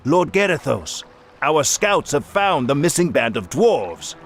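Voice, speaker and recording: A man's voice: a little high, very animated, unhurried, faint music behind. A man playing a dutiful human soldier in a fantasy game, giving a formal military report.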